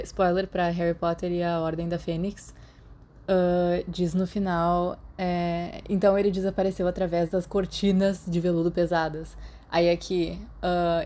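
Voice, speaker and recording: Woman, Brazilian Portuguese accent, podfic narrator, lowish pitch, gentle storytelling. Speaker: podfic reader. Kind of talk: fanfiction narration and chatty notes.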